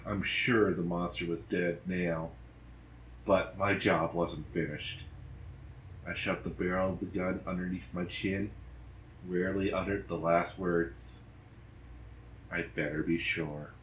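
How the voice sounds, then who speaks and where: A man narrating a dramatic horror story in a deliberate, grave manner. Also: sits low, little pitch movement. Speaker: story reader. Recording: roomy echo, background noise.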